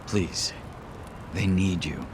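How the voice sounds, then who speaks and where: An actor playing a loyal, formal male lieutenant in a video game, speaking politely and with measured deference. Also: sits low, background noise. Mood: respectful. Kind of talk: dramatic video game voice acting.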